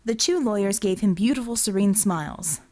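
A woman's voice: medium pitch, lively intonation, moderate pace, dark tone. Woman narrating fiction. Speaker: audiobook narrator.

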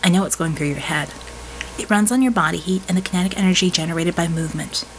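A woman voicing an earnest, quick-witted young female hacker-mage in an audiobook, explaining things assertively with dry wit. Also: lowish voice, slight background noise, natural voice, poor audio. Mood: brisk, explanatory. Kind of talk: audiobook character dialogue.

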